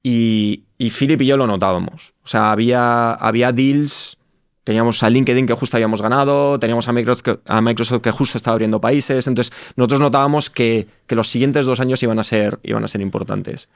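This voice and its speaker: A man's voice: mid-range voice, fairly even intonation, fairly quick, clear, resonant tone, dry recording, studio quality. A man with an accent from Spain, telling his own story reflectively as an interview guest, often rephrasing himself. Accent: Spanish from Spain. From Spain (Madrid). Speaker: interview guest, startup co-founder. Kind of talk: conversational personal storytelling in an interview.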